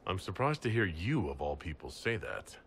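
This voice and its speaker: Direct, expressive fantasy leader. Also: male voice, low-pitched, moderate pace, gravelly, slight background noise, exaggerated voice. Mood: probing. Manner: direct, questioning. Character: confident male fantasy leader, probing and direct. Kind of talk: dramatic video game dialogue.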